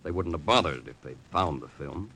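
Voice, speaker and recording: An actor playing a stern, patriotic American intelligence agent in earnest, moralizing 1940s radio style. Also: male voice, rumbling low, animated, normal speed, sharp tone, slightly rough, exaggerated voice. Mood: matter-of-fact. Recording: old radio broadcast, faint noise.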